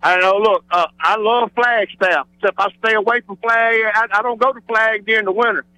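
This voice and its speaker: A man's voice: high voice, steady tone, brisk, bright, forceful tone, no echo. A man with a Southern US accent phoning a radio show, rambling in an exuberant, folksy way. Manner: exuberant, digressive, folksy showman. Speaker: regular radio phone-in caller. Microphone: telephone. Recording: radio broadcast phone call.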